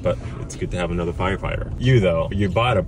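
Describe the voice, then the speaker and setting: Deep-voiced man interviewing, distant, noisy street. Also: fast talker. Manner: encouraging, frequent agreeing interjections. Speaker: host, property agent. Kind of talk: casual interview. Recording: distant voice, loud outdoor traffic noise.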